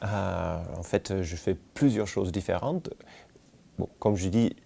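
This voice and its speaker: Slow, low-voiced American man speaking haltingly. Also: creaky, noisy background. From United States, Kentucky. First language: English. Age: 40s. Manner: hesitant, halting, searching for words. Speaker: winemaker. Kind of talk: relaxed documentary interview, telling life story.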